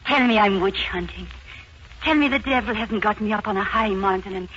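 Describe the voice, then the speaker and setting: An actress playing a young Irish housemaid in love, with an Irish accent and earnest, emotional 1940s radio acting. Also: female voice, medium pitch, a little fast, fairly bright tone, lightly acted. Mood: overjoyed, nervous. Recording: noisy 1940s radio broadcast, muffled.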